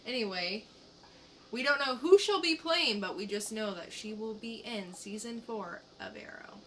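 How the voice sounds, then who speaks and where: A woman's voice: on the high side, lively intonation, normal speed, slight background noise, poor audio. A woman co-hosting a podcast, sharing entertainment news in casual chat. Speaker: podcast co-host.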